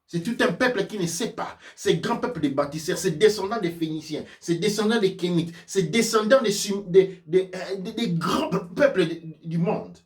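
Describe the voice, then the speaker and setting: A man's voice: high voice, normal speed, few pauses, roomy sound, recorded from a distance. A man giving an impassioned sermon-like lecture, emphatic and repetitive, driven by rhetorical questions. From sub-Saharan Africa. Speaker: spiritual teacher and preacher.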